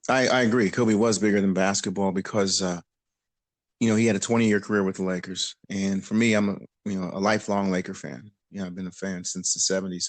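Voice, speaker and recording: A man's voice: low-pitched, dry recording. A man from western Pennsylvania, a basketball coach being interviewed, answering thoughtfully with many fillers. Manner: thoughtful, frequent fillers and restarts.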